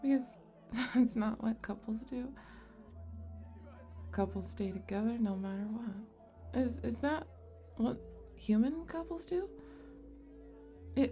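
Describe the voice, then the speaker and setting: A woman playing a shy, sweet, nerdy young goblin girl in an ASMR romance roleplay, speaking softly and hesitantly with bashful stammers. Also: a little high.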